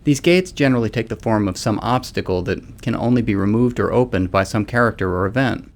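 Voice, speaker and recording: A man's voice: lowish voice, brisk. A man speaking as a game developer, giving narrated commentary.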